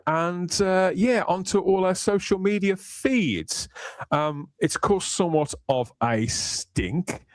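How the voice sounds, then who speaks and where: A man's voice: high voice, slow, no echo. Rambling male stream host. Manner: playful, rambling, wordy. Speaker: livestream host. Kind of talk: casual chat.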